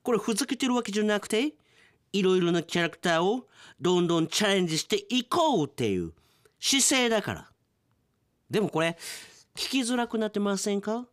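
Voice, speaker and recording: A male radio announcer who narrates a drama and then chats with listeners. His pitch moves a lot. He is jokey and playful and sometimes rattles off lines in quick bursts. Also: sing-song, a bit bright, no room sound, clean studio sound. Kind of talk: radio drama narration and casual host chat.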